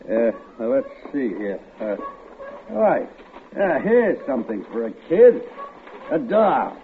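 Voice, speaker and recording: A man's voice: medium pitch, very animated, slow pace. Shifty, menacing man on thin old radio. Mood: nervous coaxing. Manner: stammering, evasive, blustering. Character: shifty, menacing man hiding a crime, bribing and bullying. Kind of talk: 1950s radio thriller acting. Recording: narrow, hissy old radio recording.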